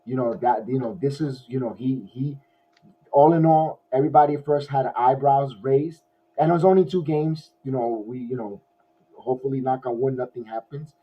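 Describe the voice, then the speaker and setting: Hoarse guy recapping a game on his podcast, husky voice, kinda muffled audio. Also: medium pitch, moderate pace. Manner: rambling, many restarts, excited fan. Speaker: podcast host. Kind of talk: casual post-game sports talk. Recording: dull lo-fi audio.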